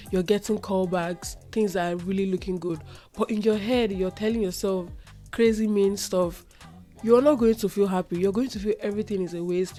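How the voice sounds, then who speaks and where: Woman host for a solo self-help podcast. Warm, mellow tone, slightly nasal from a runny nose. Earnest and reflective, and she repeats lines for weight. Faint music underneath. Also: medium pitch. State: recovering from a runny nose, itchy throat. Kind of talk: solo motivational podcast talk.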